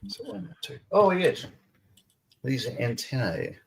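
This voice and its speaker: A man's voice: medium pitch, huge pitch swings, a little slow, vocal fry, a crowd in the background. A man hosting a casual live stream, chatting freely, laughing often and reading viewer comments aloud. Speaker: stream host.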